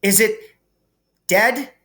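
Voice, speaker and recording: Man doing a high, earnest young schoolgirl. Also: bright, forceful tone, full character voice. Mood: shaken. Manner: earnest, hurried explaining. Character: earnest young schoolgirl, anxious, bookish, pleading.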